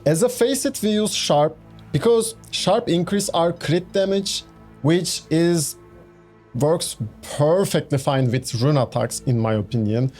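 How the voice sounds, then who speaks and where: Young man, Turkish accent, gaming video narration, slow delivery, chatty fillers, faint background music. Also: on the high side, animated, a little mellow. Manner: chatty, many fillers and restarts. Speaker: gaming YouTuber. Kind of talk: casual game build walkthrough.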